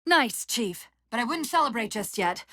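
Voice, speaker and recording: Bright, animated female AI companion. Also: on the high side, moderate pace, no room sound. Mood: wry, dry. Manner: crisp tactical commands with dry wit. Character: female military AI companion, urgent, brisk, sardonic. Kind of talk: video game voice acting.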